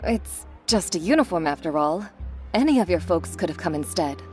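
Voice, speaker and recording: A woman's voice: on the low side, lively intonation, moderate pace, a little mellow, lightly acted. An actress playing a wry, businesslike female shop tailor with a teasing manner. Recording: game audio with faint music.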